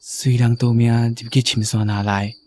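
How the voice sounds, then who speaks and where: An actor playing a weary, gentle middle-aged soldier back from war in a radio drama, hoarse and subdued, with a Taiwanese accent. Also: male voice, medium pitch, little pitch movement, moderate pace, a little hiss or hum, natural voice. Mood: somber, gentle.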